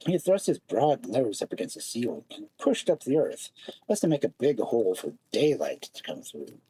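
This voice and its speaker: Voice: medium pitch, warm tone, slightly rough, poor audio. A storyteller narrating a fairy tale expressively, with dramatic, lingering phrasing.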